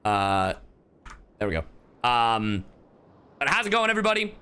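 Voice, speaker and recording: Guy streamer chatting to his viewers, casual and slangy, middle pitch, bit of music under it. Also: big pitch swings, unhurried, pushed and bright, smooth. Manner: casual, addresses audience with slang and swearing. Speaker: streamer and voice actor. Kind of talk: live game reaction, casual chat with viewers.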